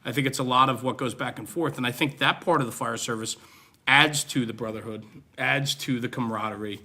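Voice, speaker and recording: Very bright-voiced male podcast host. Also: medium pitch, a little fast. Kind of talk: casual conversational interviewing.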